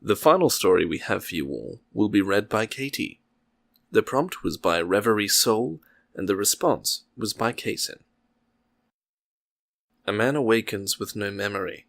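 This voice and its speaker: A man's voice: on the low side, expressive, normal speed, gravelly, a bit bright, dry recording, clean studio sound. A man presenting a story podcast and narrating short fiction from a script. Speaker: podcast host and story reader.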